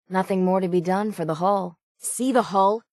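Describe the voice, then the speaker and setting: A woman voicing a gruff, stubborn, hard-bitten shipwright in a video game, with salty, plainspoken seafaring talk. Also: medium pitch, measured pace, a little mellow, no echo, clean studio sound, natural voice. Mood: proud. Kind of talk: video game character dialogue.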